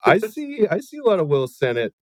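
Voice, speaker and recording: A man's voice: sits high, wildly swooping pitch, brisk, darkish tone, dry recording, clean studio sound. A man hosting a casual podcast chat, laid-back and enthusiastic, swearing freely. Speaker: podcast host.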